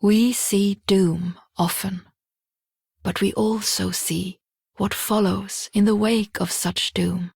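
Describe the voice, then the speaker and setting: An actress playing a grave, enigmatic prophetess with a raspy, creaky voice in a game monologue. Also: female voice, mid-range voice, steady tone, takes it slowly, a little mellow, dry recording, studio quality, full character voice. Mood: grave, cryptic. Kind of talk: scripted game character monologue.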